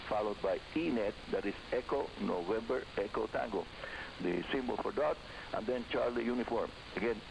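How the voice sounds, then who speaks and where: An older man hosts a scripted hobby radio program over shortwave, emphatically addressing listeners as friends. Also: lowish voice. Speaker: radio show host. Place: radio studio, heard over shortwave receiver. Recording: hissy, noisy shortwave radio broadcast reception. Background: loud hiss and static.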